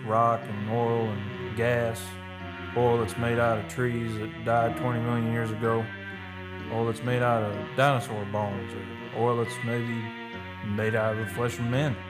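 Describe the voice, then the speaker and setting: A man plays a retired oil-rig roughneck narrating a radio drama in a folksy, conversational way. He is middle-aged, plain-spoken and reflective, with a mid-pitched voice over soft music. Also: flat delivery, unhurried, close to an everyday voice. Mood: musing. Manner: colloquial, conversational storytelling. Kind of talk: first-person radio play monologue.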